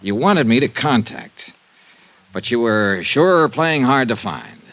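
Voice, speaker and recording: An American actor playing a tough, wry private investigator with clipped delivery in a 1950s radio detective drama. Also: male voice, mid-range voice, animated, takes it slowly, no echo. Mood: firm, businesslike. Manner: clipped, wry, hard-boiled. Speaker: lead actor and first-person narrator. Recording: muffled vintage radio recording.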